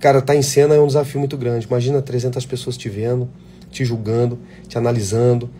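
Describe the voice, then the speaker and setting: A man's voice: mid-range voice, mellow, a little hiss or hum. A man, an actor, talking casually and passionately to aspiring actors in a live chat, blunt and swearing freely. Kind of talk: casual live chat answering viewer questions.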